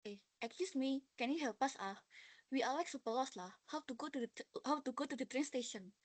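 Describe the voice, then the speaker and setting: A woman's voice: high-pitched, fast, no room sound. A young woman playing a lost, polite Singaporean student who uses Singlish particles in a classroom role-play. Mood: lost, pleading. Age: young adult. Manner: ends phrases with Singlish particles.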